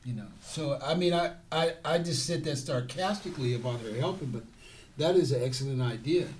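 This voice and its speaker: A man's voice: medium pitch, fairly quick, a little mellow, slight background noise. A man who trains security guards, speaking in a casual interview and repeating his points for emphasis.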